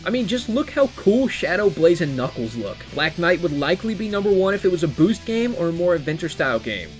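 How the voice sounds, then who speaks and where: A man's voice: high-pitched, sing-song, brisk. A young man giving enthusiastic, casual scripted video game commentary with playful, slangy asides. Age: young adult. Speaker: video game reviewer, presenter. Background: background music.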